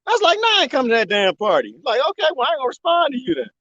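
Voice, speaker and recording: A man live streaming from the desert, joking and rambling in a loose, animated way. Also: very high voice, racing, muffled recording. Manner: animated, joking, imitates bird chirps. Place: outdoors in the desert.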